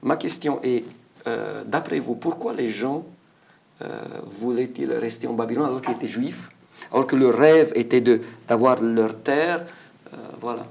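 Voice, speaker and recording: A man's voice: mid-range voice, moderate pace, creaky, darkish tone. A man leading a Bible study discussion, asking questions in a hesitant way. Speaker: discussion leader.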